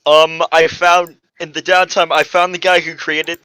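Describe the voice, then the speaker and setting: Bright, fairly high-voiced man chatting. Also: level tone, moderate pace, smooth, dry recording, rough recording. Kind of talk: casual group voice chat.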